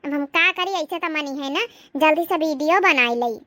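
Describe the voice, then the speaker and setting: A woman's voice: high-pitched, moderate pace, pushed and bright, no room sound. A woman voicing dramatic, plaintive village housewives in a comedy cartoon, speaking with a rustic Awadhi accent. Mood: scandalized.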